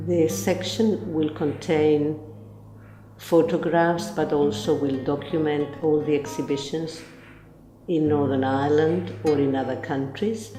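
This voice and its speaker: A woman's voice: deep voice, mellow, reverberant. A woman from Chile with a Chilean Spanish accent, carefully explaining textile pieces in an interview. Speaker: textile curator.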